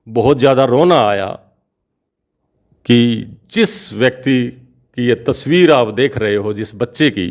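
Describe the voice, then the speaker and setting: A man voicing a remorseful grown prisoner making an emotional, pleading confession. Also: mid-range voice.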